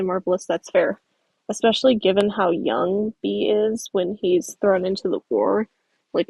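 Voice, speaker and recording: A woman's voice: mid-range voice, vocal fry, a little mellow, breathy edge, no echo, poor audio. A young woman from the American South, chatting casually on a livestream while reading out viewer comments. She rambles in a friendly way, uses many fillers and laughs easily. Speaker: stop-motion creator streaming.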